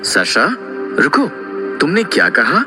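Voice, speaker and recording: A man playing a patient father who narrates a children's moral story in a measured, instructive way. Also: a little high, huge pitch swings, long reverb tail, over music, heavily acted. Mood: correcting.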